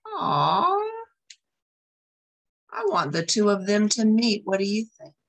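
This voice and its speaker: A woman's voice: mid-range voice, huge pitch swings, takes it slowly, creaky. A woman reading a picture book aloud as a storyteller, expressively, with explanatory asides to young listeners.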